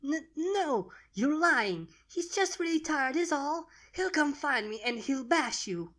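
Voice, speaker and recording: Woman voicing a high-pitched frightened baby girl dragon. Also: lively intonation, slow pace, a bit bright, heavily acted. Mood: tearful denial. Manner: pleading, stammering protests.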